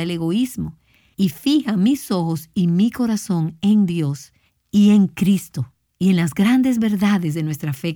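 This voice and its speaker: A woman's voice: medium pitch, darkish tone, no room sound, studio quality. A woman speaking as a Bible teacher, giving a devotional talk in an earnest, exhorting manner.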